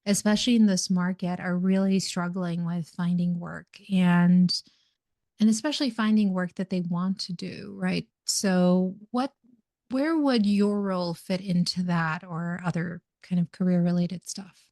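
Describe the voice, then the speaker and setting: A woman's voice: fairly low pitch, fairly even intonation, unhurried, darkish tone, no room sound. Woman podcast host, close dry sound. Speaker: podcast host, organizational coach. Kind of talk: conversational interview.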